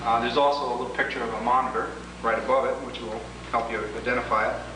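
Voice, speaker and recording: A man giving an instructional product demo, explaining each step in a deliberate, methodical way. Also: medium pitch, little pitch movement. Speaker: product demonstrator. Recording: roomy, echoing, noisy 1980s demo tape, distant mic.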